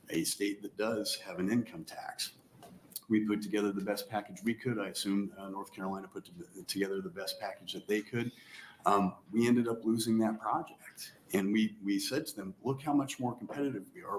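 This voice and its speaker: A man from Nebraska, a chamber of commerce president, giving measured, earnest formal testimony at a legislative hearing with frequent pauses. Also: sits low, a little hiss or hum, rough recording.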